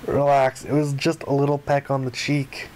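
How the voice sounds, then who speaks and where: A man's voice: mid-range voice, little pitch movement, normal speed, background noise. A man reading visual novel narration and dialogue aloud, acting out the lines as he goes. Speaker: gaming video player reading aloud.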